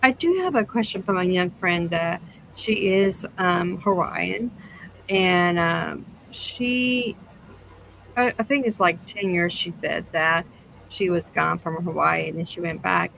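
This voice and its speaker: A woman's voice: on the low side, slow, creaky, fairly bright tone, slight background noise, rough recording. A woman chatting casually and conversationally as a podcast co-host.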